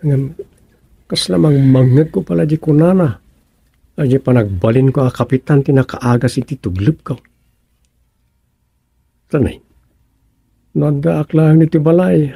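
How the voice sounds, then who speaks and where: A man acting a sleepy, good-humoured village captain in an Ilocano radio comedy drama, bantering and musing with a husky, creaky voice. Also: on the high side, measured pace, long pauses, mellow, no room sound, full character voice. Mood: musing to himself.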